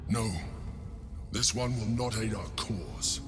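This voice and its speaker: An actor playing a cold, calculating advisor in a video game, speaking in a measured, haughty and severe way. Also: male voice, on the low side, music in the background. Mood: cold refusal.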